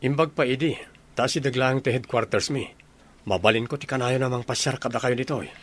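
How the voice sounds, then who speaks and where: A man's voice: mid-range voice, fairly quick, natural voice. A man playing an earnest, dutiful grown son in a radio drama, with an Ilocano accent. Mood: thoughtful.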